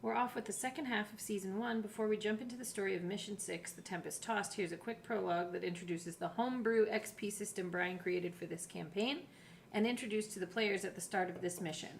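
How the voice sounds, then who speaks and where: A woman's voice: medium pitch, gravelly, slight background noise. Chatty woman hosting a podcast. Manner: chatty, quick to laugh. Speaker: podcast host reading episode summaries and asking questions. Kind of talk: casual chat with read-out summaries.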